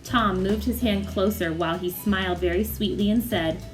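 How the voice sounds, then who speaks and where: Female children's storyteller, mid-pitched, expressive and animated read-aloud. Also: normal speed, faint music behind.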